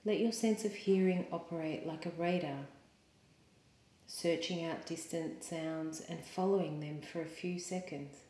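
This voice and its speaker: A woman yoga teacher leading a guided meditation in a calm, soothing, measured way with pauses. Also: deep voice. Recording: roomy, echoing sound.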